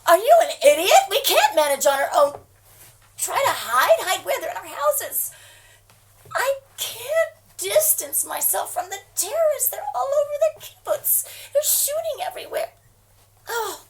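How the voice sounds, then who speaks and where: A frightened woman begging for help over the phone, played by an actress. Her voice sits very high with a gravelly fry, and she swings between hushed whispers and frantic pleading. She sounds set back from the mic, with a faint hiss of ambience behind her. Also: recorded from a distance, exaggerated voice, low-quality recording. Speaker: actor playing a frightened mother on phone calls. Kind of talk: dramatic monologue acting.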